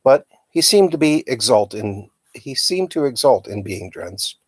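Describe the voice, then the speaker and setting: A man reading a children's novel aloud as a storyteller, with a dramatic, expressive delivery. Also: mid-range voice, unhurried, husky, no echo. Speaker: storytime reader.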